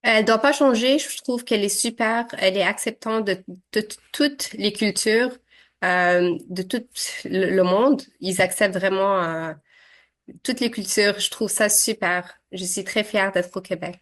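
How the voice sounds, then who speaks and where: A woman's voice: mid-range voice, unhurried. Hesitant woman in her thirties. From Syria. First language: Arabic. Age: 30s. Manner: hesitant, many fillers and restarts. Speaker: interviewee. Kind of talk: casual interview answers.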